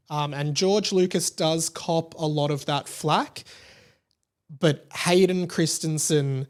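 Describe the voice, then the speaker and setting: A man hosting a podcast, talking casually and emphatically in an informal, gushing fan style. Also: on the high side. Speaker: podcast host. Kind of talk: casual opinionated podcast monologue about pop culture.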